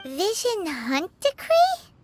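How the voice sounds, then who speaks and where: A woman voicing a tiny, childlike fairy companion in a video game, squeaky, cute, perky and inquisitive. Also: wildly swooping pitch, slow, heavily acted. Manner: cute, refers to herself in third person. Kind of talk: scripted video game dialogue. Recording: loud music under the voice.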